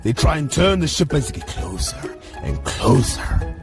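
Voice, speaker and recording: A man plays storyteller dad, delivering a comic bedtime tale in a lively, swooping voice over clearly audible music. His emphasis is theatrical and mock-heroic, at a middle pitch. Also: unhurried. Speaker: storyteller dad narrating to a child.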